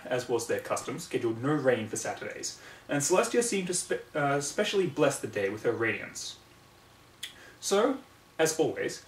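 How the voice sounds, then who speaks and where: Male amateur narrator for storybook reading, recorded at home with audible room echo and some distance from the mic. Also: on the high side. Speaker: fan fiction reader.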